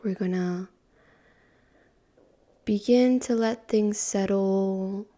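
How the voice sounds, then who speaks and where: Very slow, soft-voiced woman guiding meditation. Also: mid-range voice. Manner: calm, unhurried, long pauses. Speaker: meditation guide. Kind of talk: guided meditation.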